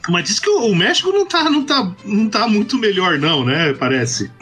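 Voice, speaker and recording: A man's voice: sits high, big pitch swings, a little fast, bright, forceful tone, soft background music. A man co-hosting a casual podcast, cracking jokes and teasing. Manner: wisecracking, jokes. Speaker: podcast co-host.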